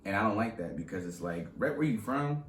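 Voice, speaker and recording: A man's voice: fairly low pitch, fairly quick, echoey room, slight background noise. A young man from Southern California, a rapper, talking casually and animatedly in an interview, with lots of slang. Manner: slangy, animated storytelling with laughs. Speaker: rapper guest.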